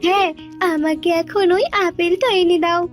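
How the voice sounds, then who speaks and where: A woman voicing a gentle, caring young princess in a cartoon, tender but resolute. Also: extremely high pitch, steady tone, normal speed, soft background music. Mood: reassuring, resolute.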